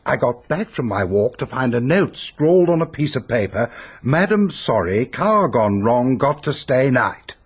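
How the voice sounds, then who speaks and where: An actor in a radio drama playing a pompous, peevish, self-pitying upper-class English hypochondriac who blusters and complains. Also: male voice, medium pitch, big pitch swings, measured pace, husky. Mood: grumbling.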